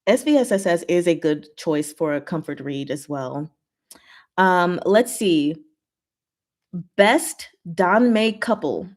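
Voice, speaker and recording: Slow-paced, giggly woman chatting casually. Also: fairly low pitch. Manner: giggly, animated asides with emphatic one-word verdicts. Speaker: host of a chat and Q&A stream. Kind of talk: casual chat reading and answering viewer comments.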